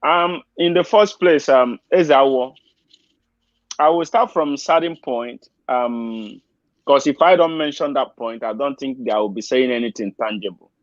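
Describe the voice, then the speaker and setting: Deliberate Nigerian man, dry muffled sound. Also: medium pitch, no room sound. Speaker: interview guest. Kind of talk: unscripted personal account. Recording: dry, slightly dull lo-fi audio.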